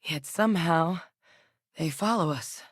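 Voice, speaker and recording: An actress playing a composed, capable female scientist in a game, speaking in a measured, matter-of-fact, dry way. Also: low voice, breathy edge, no echo. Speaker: scientist working alongside the captain. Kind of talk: game character voice lines.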